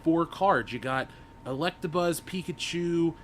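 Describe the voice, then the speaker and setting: A man in his thirties chatting casually as a panelist. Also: measured pace. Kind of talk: casual chat. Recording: faint low rumbling background noise.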